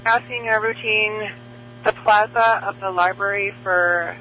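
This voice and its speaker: A man with a high voice dispatches police units in short procedural phrases. He comes through a dull two-way radio channel with clear hum and noise behind him. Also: fairly even intonation, measured pace. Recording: noisy muffled police radio with vehicle hum.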